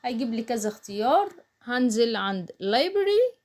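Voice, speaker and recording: A woman's voice: a little high, big pitch swings, moderate pace, a little mellow. A woman with an Egyptian Arabic accent giving a casual step-by-step screen tutorial, explaining as she goes and mixing in English terms. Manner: explains while doing, with English terms mixed in. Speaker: online tutorial instructor.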